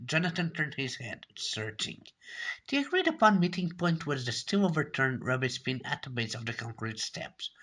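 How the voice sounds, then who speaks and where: A man narrates a story in a bright, forceful voice at a middling pitch. He reads dramatically, as an amateur would. Now and then he stumbles over a word and says it again. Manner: stumbles and repeats words while reading.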